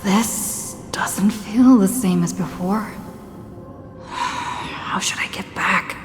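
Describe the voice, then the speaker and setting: A woman's voice: mid-range voice, drawn-out words, whispered. An actress voicing a bewildered young female traveler in a game cutscene, murmuring uneasily to herself. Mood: uneasy. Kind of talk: video game cutscene voice acting. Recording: echoey hall reverb with music behind.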